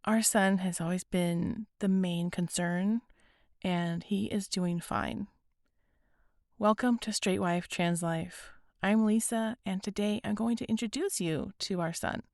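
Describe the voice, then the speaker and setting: A woman hosting a podcast, speaking earnestly and gently with a raspy, hoarse voice. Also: medium pitch, fairly even intonation, unhurried, dry recording, clean studio sound. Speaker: podcast host. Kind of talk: scripted podcast intro and interview.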